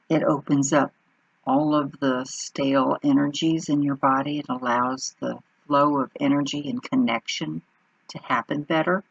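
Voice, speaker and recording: A woman leads a guided meditation at a slow, unhurried pace, leaving pauses between short phrases. Her voice is husky and full of fry. The recording is muffled and dull. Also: rumbling low, dry recording.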